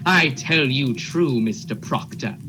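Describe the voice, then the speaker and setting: An earnest, officious Puritan lawman acted by a man in a radio drama. His mid-pitched voice is formal, rustic and insistent. Music plays behind him. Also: animated, slow pace. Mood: alarmed. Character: Cheever, earnest, officious male court officer serving warrants. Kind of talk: radio play acting.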